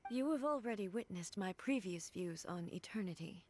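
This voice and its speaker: A woman voicing a calm regal ruler. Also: medium pitch, slight background noise, close to an everyday voice. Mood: solemn. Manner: measured, formal and reflective. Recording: video game audio with faint music.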